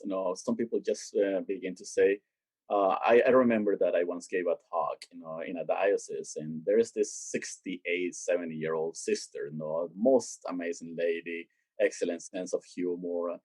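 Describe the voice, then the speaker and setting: A man's voice: low-pitched, normal speed, no room sound, rough recording. A man speaking as a theologian guest in an interview, explaining his work in a conversational way and growing emphatic as he makes his points. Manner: grows animated and emphatic when making a point. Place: lives in Boston.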